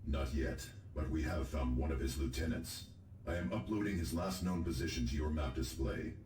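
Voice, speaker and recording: Very deep, echoing tech officer. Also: male voice, background noise. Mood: informative, solemn. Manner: formal, measured. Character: solemn, dutiful male military tech officer. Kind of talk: video game briefing.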